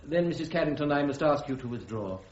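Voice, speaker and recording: An actor playing a brisk, authoritative officer presiding over a military court in a radio drama, speaking formally and crisply. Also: male voice, fairly high pitch, lively intonation, darkish tone, close to an everyday voice. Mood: stern, formal. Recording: roomy, dull radio drama recording.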